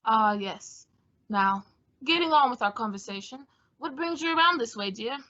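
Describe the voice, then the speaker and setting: A woman's voice: on the high side, lively intonation, normal speed, bright, forceful tone. A woman playing a fussy, sardonic male photographer with an arch, droll manner in a radio play. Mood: arch, genial. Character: fussy, sardonic, theatrical male photographer, grumpy but fond. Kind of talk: old-time radio comedy-mystery acting. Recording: dull lo-fi audio.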